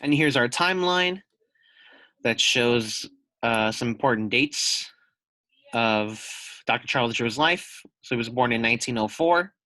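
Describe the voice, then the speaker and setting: A man leads a read-aloud lesson for young children in a bright, forward voice. He takes his time, explains carefully, asks questions and goes back over key points. The sound is dry and close, with no room echo. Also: medium pitch, animated, unhurried. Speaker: teacher reading a children's book aloud.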